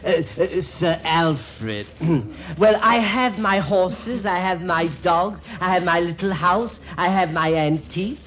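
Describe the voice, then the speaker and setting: Pompous smooth-talking fake aristocrat conman, very animated, 40s radio comedy, muffled old recording. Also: sits high, slow. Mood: sly, pompous. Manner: pompous, flowery, ingratiating. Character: suave, flowery old swindler posing as a titled gentleman. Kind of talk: 1940s radio comedy acting. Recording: dull, faintly noisy 1940s radio recording.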